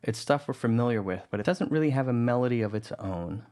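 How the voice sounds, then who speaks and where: A man narrating a music-editing tutorial in a relaxed, conversational way. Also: medium pitch, quick pace. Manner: relaxed, conversational, thinking aloud.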